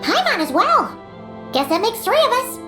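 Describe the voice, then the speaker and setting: A woman voicing a small, chatty, perky fairy companion in a video game, with a squeaky childlike voice and expressive delivery. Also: normal speed, roomy sound, over music. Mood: cheerful. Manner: speaks of self in third person, expressive. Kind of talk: video game character dialogue.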